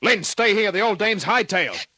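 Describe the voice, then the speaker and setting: A man's voice: sits high, expressive, normal speed, sharp tone, no echo, full character voice. A man playing a tough, wisecracking private detective, narrating an old-time radio crime drama in terse slang. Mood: urgent, hard. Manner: terse, slangy, hardboiled delivery. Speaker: lead actor and narrator. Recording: dry old radio recording.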